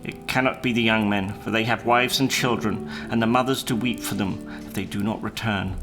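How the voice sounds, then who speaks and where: A man voicing a courteous, selfless old fisherman in a storybook, with a gentle, earnest and resolute manner. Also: fairly low pitch, fairly even intonation, fairly quick, music in the background, natural voice. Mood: solemn resolve. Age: older.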